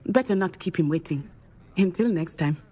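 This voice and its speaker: A confident, friendly teenage witch in a fantasy game. Her voice is husky and lively in pitch, composed and encouraging in manner. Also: moderate pace. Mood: friendly, reassuring. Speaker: student character. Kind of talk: video game voice acting.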